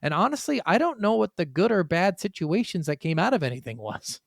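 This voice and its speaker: Higher-voiced man, blunt casual podcaster. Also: big pitch swings, fairly quick, gravelly, no room sound, clean studio sound. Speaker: podcast co-host. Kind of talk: casual gaming podcast chat.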